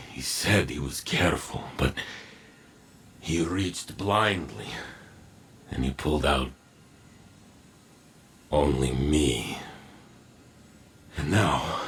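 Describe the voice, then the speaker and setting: A man voicing a gentle, melancholy robotic warrior in a video game, speaking solemnly and haltingly as he doubts himself. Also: extremely low pitch, breathy edge, full character voice. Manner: slow, halting, solemn and formal. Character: gentle, melancholy war machine man doubting his own worth. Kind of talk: scripted video game voice acting.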